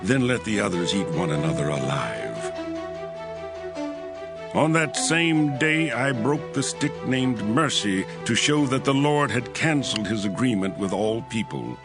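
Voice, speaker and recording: A man's voice: lowish voice, very animated, unhurried, over music. An actor playing an impassioned prophet who narrates his visions in a dramatic, emphatic scripture audio drama. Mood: resolute, indignant.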